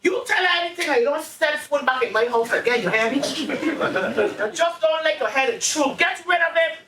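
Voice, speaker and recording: A woman playing a stubborn, sharp-tongued elderly Caribbean grandmother in a stage play, blunt and scolding. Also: high voice, expressive, brisk. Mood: scornful, scolding. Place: indoor stage, mic far from actors. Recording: roomy, distant stage recording with noise.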